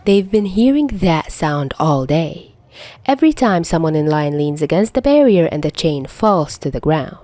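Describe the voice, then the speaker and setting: A woman's voice: deep voice, big pitch swings, moderate pace, warm tone, no echo. A woman narrating a scripted story in the manner of an audiobook reader. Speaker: podfic narrator.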